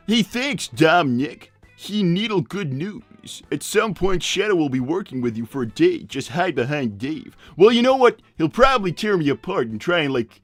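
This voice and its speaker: Glib cartoon animal boss, played by a man: blunt and rambling, highish lively voice, dry close sound, music behind. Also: no echo. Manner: rambling, blunt, breezy, many digressions. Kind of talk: improvised live character roleplay.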